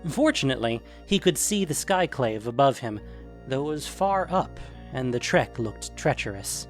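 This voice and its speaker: A man's voice: mid-range voice, sing-song, moderate pace, soft background music. A man narrating a scripted fantasy adventure story.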